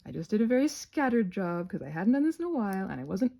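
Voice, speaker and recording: A woman's voice: medium pitch, sing-song, fast, creaky, dark tone, slight background noise. A woman giving a rambling, casual craft tutorial as host, chatty and playful, with scattered, self-deprecating asides.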